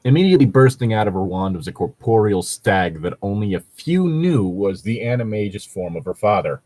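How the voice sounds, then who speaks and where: A man's voice: on the low side, lively intonation, fairly quick, warm tone, dry recording. A man chatting casually as a co-host, explaining things in a rambling, sweary way. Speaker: co-host reading and discussing fan fiction. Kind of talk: casual chat and commentary.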